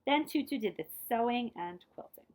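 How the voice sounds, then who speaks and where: A woman reading a children's picture book aloud in an expressive, dramatized way, dropping to hushed phrase endings and adding short asides. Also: fairly high pitch, normal speed.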